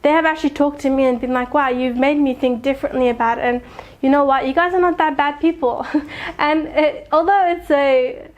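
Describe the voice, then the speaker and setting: A young woman from Afghanistan, speaking earnestly and thoughtfully in an interview, with soft laughs and frequent pauses to think. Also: high-pitched. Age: young adult. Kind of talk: reflective personal testimony.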